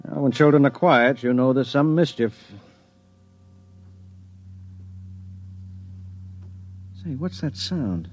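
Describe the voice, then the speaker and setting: A man's voice: mid-range voice, moderate pace, darkish tone, soft background music, close to an everyday voice. A man acting as a sensible suburban husband in a 1950s radio drama, theatrical, reasoning and easily exasperated. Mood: relaxed, curious. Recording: faintly noisy vintage radio recording.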